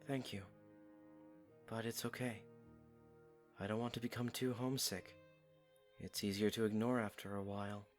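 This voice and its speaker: A man playing a gentle, stubborn young knight in an audio drama, speaking softly and informally with playful defiance. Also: fairly low pitch, fairly even intonation, moderate pace, long pauses, faint music behind, natural voice. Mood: gentle reassurance. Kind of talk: fan audio drama acting.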